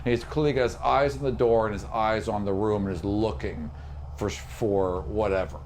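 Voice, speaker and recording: A man working as a game master, describing scenes for a tabletop fantasy game in short, halting phrases. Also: on the low side, fairly even intonation, a little mellow, slight background noise. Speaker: game master and podcast host.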